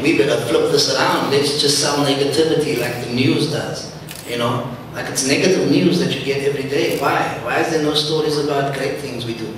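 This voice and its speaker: A man's voice: mid-range voice, a bit bright. A man with a South African accent giving informal, outspoken panel talk with slang and emphasis. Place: large lecture hall. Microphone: handheld microphone. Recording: echoey hall, distant, faint noise.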